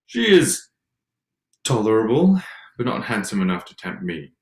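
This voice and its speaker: An actor playing a haughty, surly young gentleman with a stiff, disdainful manner in a period staged reading. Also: on the low side, sing-song, moderate pace. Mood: dismissive. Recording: echoey, reverberant room.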